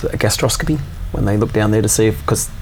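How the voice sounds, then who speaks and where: Low male voice, casual chatty co-host, halting and relaxed, faint hiss behind. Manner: relaxed, halting, recounts at length with fillers. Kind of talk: casual chat.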